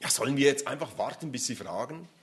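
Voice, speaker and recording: A man's voice: medium pitch, expressive, quick pace. A man preaching an interactive sermon, emphatic and addressing the audience directly.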